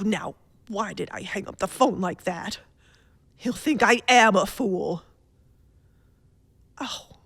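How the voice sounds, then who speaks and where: A woman's voice: high voice, husky, no echo, full character voice. A woman playing an anxious, demanding bedridden wife in a suspense radio play, fretful, imperious and theatrical in manner. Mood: petulant, upset. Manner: fretful, imperious, theatrical, halting then insistent.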